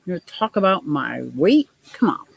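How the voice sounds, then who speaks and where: A woman in her sixties with a deep voice, hosting a casual livestream, reading viewer comments and laughing often in a gushing, playful way. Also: very animated, moderate pace, gravelly, breathy edge. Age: 60s. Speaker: livestream host.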